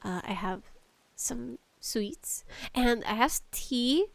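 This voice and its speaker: A woman chatting casually as a solo podcast host, nervous, halting and apologetic, with allergies bothering her. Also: fairly high pitch, lively intonation, creaky, dry recording. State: allergies acting up. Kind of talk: casual solo podcast chat about games and tea.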